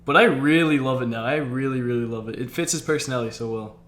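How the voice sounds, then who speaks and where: A man's voice: mid-range voice, fast, fairly bright tone. A man hosting a casual livestream chat, talking enthusiastically and repeating himself for emphasis. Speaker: streamer host. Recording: roomy, echoing sound.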